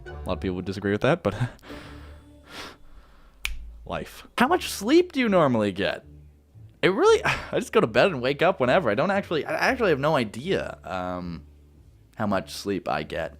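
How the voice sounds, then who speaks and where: A man's voice: medium pitch, huge pitch swings, moderate pace, long pauses, soft background music. A young man from Canada with a Canadian accent, answering viewer questions in a rambling, laid-back way that keeps correcting itself. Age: young adult. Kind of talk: casual Q&A chat.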